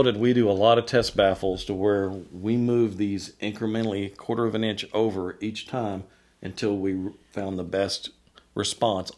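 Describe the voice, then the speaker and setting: Male product designer, fairly deep voice, informal explanatory presenter, enthusiastic and colloquial. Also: a little mellow.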